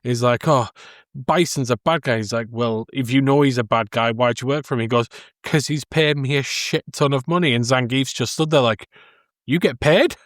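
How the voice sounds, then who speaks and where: A man's voice: mid-range voice, normal speed, a bit bright, dry recording. Man in his thirties, very dry close sound. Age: 30s. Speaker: podcast co-host. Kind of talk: casual film discussion.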